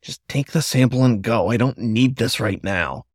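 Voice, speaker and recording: A man's voice: normal speed, breathy edge, no echo, studio quality, natural voice. A man doing an annoyed raccoon, whispering curtly. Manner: low, curt whisper. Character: annoyed, lanky male raccoon.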